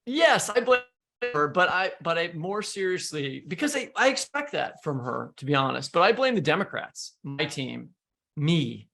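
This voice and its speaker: A man's voice: a little high, big pitch swings, normal speed, vocal fry, sharp tone. An American man hosting a podcast, talking casually about politics. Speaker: podcast host.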